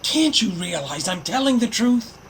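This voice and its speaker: A man's voice: high voice, big pitch swings, moderate pace. A man playing a plucky, wisecracking hero on the run in an audio drama, cheeky and insistent. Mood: pleading. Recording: noisy home-device recording.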